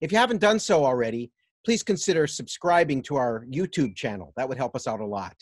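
A middle-aged man hosting a casual interview over a video call from his garden, speaking in a relaxed way with frequent pauses. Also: mid-range voice, no echo, studio quality. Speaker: host, theater artistic director. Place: outdoor garden patio at home.

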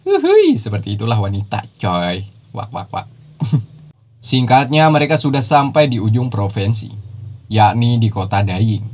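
A man's voice: lowish voice, huge pitch swings, measured pace, a little mellow. Jokey man narrating a recap. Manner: jokey, teasing asides with slang and laughs. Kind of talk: casual slangy anime recap narration. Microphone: voice-over.

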